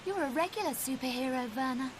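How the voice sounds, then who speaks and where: A woman's voice: sits high, fast, background noise, natural voice. An actress playing a plucky, sarcastic young girl adventurer in a video game, with dry, teasing delivery. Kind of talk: video game cutscene acting.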